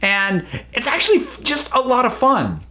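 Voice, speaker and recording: A man's voice: very high voice, huge pitch swings, normal speed, bright, forceful tone. A man giving a casual, unscripted review, chatty and rambling as the host.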